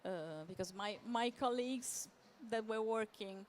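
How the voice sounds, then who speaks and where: A woman giving a semi-improvised conference presentation as a literary translator, halting and often repeating words as she searches for phrasing. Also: a little high, steady tone. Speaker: literary translator, panel speaker. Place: live talk at a book fair event. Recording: clear background noise.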